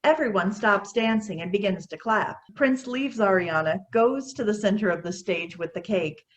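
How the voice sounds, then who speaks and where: A woman's voice: medium pitch, moderate pace. A woman reading stage directions as scripted narration for a play.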